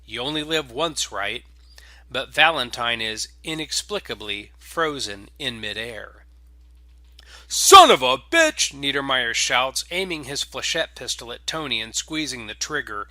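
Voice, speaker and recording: A man reading scripted space opera fiction as a dramatic, deliberate narrator. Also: medium pitch, pushed and bright, no echo.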